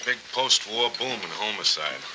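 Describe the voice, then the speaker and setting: An actor playing an easygoing, wry police lieutenant with a dry, folksy manner in a 1940s film noir. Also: male voice, on the low side, animated, normal speed, lightly acted. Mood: affable. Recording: noisy old 1940s film soundtrack.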